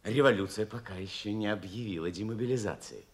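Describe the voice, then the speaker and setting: An actor playing a teasing but steadfast young naval officer in a Russian radio play, speaking in an emphatic, rhetorical way. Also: male voice, lowish voice, brisk, lightly acted. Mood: earnest, firm.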